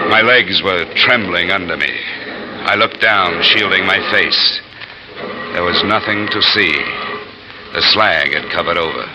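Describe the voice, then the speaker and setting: A man's voice: sits low, low-quality recording. An actor playing a terse, hard-boiled male insurance investigator who narrates his own case in a 1950s radio drama. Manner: terse first-person narration. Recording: old radio transcription with music.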